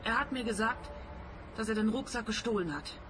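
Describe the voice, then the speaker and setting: Actress for wounded young woman, radio crime drama. Earnest, subdued confiding over clearly audible background noise. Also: on the high side, brisk, close to an everyday voice. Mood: sad, earnest. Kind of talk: radio crime comedy acting.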